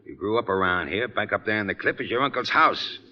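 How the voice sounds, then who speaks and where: A man playing a gruff, slangy escaped convict in an old-time radio drama, on a muffled, noisy recording. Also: fairly low pitch, expressive, fast talker, lightly acted. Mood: blunt. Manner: blunt, slangy.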